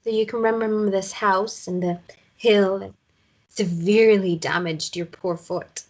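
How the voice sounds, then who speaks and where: A woman's voice: medium pitch, fairly even intonation, a little slow. A woman playing a wistful, dreamy mother by the coast in a naturalistic romance drama read, understated and reflective. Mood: subdued.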